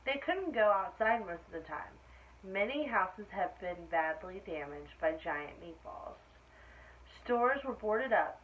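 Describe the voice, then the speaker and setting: Lady reading a kids picture book out loud, explains stuff simply, kinda muffled cheap recording, bit of background noise. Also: medium pitch. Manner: explains simply to young listeners. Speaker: story-time reader for children. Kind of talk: read-aloud picture book narration with asides to children. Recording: dull lo-fi sound with faint noise.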